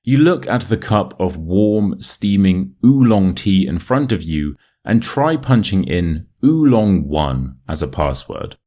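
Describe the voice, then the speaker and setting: A man with a modern RP British accent reading a short story as an English teacher, in a measured, clearly enunciated way. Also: deep voice, very animated, warm tone. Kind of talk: scripted story narration for listening practice.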